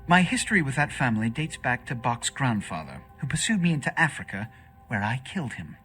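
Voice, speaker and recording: A haughty, sharp-voiced vampire leader. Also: male voice, medium pitch, very animated, soft background music. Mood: cold, superior. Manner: haughty, measured, formal. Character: authoritative, aloof vampire leader issuing orders. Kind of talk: video game dialogue acting.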